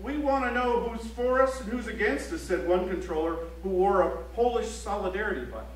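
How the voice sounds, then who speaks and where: An actor playing a serious newspaper reporter, reading news reports theatrically on an auditorium stage. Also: male voice, high voice, animated, brisk. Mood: grave, emphatic. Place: library auditorium stage, live play. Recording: echoey auditorium from far off, faint hum.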